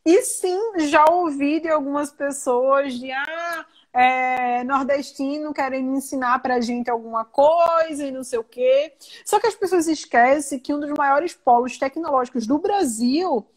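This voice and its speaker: A young woman from Recife with a strong Pernambuco accent, talking as an interview guest in a chatty, animated way with many fillers. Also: high voice, unhurried. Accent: Pernambuco Portuguese. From Recife, Brazil. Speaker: guest, science communicator and entrepreneur. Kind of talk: video call interview.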